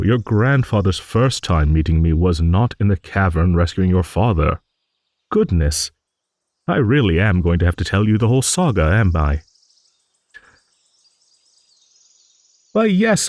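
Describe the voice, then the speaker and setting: A man's voice: low-pitched, a little mellow, no room sound, clean studio sound. A man playing a genial, nostalgic old dragon in an improvised fantasy monologue, musing and rambling with hums and hesitant asides. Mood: amused, gently reluctant.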